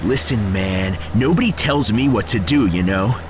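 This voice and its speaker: A man's voice: fairly low pitch, lively intonation, normal speed, noisy background, close to an everyday voice. A man playing a cocky, reckless small-time criminal with a swaggering, slangy way of talking in an audio drama. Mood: cocky, dismissive.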